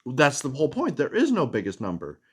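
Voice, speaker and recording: A man's voice: mid-range voice, huge pitch swings, a little fast, breathy edge. A man hosting a children's storytime, reading expressively and chatting casually to explain things. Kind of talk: animated picture-book reading and casual chat with kids.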